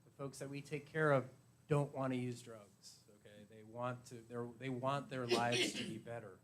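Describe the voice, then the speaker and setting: A man speaking haltingly, with many fillers, as an addiction treatment provider at a county meeting. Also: mid-range voice, steady tone, fairly bright tone. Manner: halting, filler-heavy explanation. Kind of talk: public meeting testimony.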